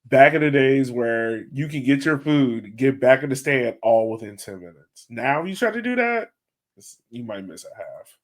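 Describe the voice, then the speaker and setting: A man with a medium-pitched voice chats casually as the co-host of a sports podcast. His speech is informal and colloquial, like relaxed fan talk. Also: moderate pace.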